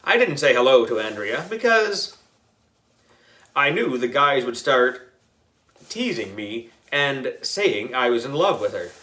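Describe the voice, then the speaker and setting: A man narrating a children's story aloud in a playful, expressive, exaggerated way. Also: mid-range voice, measured pace. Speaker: audiobook reader.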